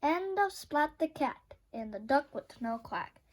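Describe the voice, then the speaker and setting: Female storybook reader, high pitch, unhurried, expressive delivery for young children. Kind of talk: children's picture-book read-aloud.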